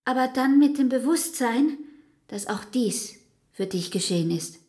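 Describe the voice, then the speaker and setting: A brooding devoted woman, very slow and measured, slightly high voice, radio drama. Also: animated, a little mellow. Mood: resigned resolve. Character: brooding, anguished woman, devoted but fatalistic. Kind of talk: literary radio drama acting.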